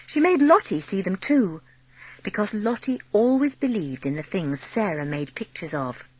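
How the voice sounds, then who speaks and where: A woman narrating a dramatised children's story as an expressive audiobook storyteller. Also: mid-range voice, moderate pace, no echo.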